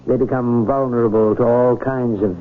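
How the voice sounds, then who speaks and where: An actor playing an earnest, persuasive small-town reverend, measured and oratorical, in an old radio drama. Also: male voice, mid-range voice, fairly even intonation, natural voice. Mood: earnest, philosophical. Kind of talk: 1980 radio drama acting. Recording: noisy, tinny old radio broadcast recording.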